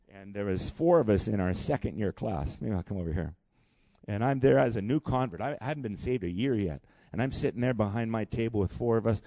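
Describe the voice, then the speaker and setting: Pastor in his 60s, gravelly voice, dry close sound, lively call and response preaching. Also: on the low side, no room sound. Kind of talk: sermon. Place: church hall.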